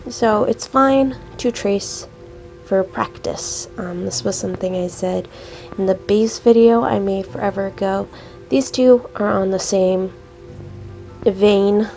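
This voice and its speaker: A woman's voice: medium pitch, darkish tone, highs cut off. A young woman with a stuffed-up cold voice, chatting hesitantly with many ums while she draws at home, with the camera over her paper. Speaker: art YouTuber. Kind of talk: casual commentary while drawing. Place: at home, at a desk, drawing.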